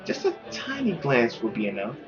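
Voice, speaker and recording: A man's voice: mid-range voice, moderate pace, highs cut off, soft background music. A man hosting a gaming video, reading a visual novel aloud and adding chatty commentary. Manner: animated, chatty.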